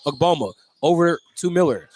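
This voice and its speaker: A man's voice: a little high, lively intonation, moderate pace, darkish tone, a little hiss or hum. An American man doing live play-by-play basketball commentary on the radio.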